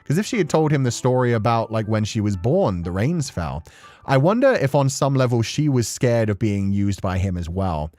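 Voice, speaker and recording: A man giving casual, animated commentary on a story game, swearing freely as a streamer. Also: mid-range voice, moderate pace, no room sound. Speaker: streamer playing and reading a visual novel.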